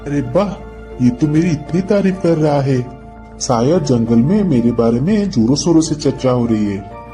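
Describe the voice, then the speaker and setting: A man's voice: mid-range voice, expressive, moderate pace, warm tone, faint music behind, close to an everyday voice. A man voicing a cunning, selfish crow in a cartoon moral story, sweet-talking in a coaxing way. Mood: flattered.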